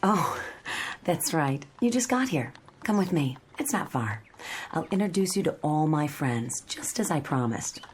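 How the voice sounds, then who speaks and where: A woman's voice: sits low, expressive, measured pace, a little hiss or hum. An actress playing a gracious, chatty small-town woman with old-fashioned politeness in a radio drama. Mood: gracious, inviting.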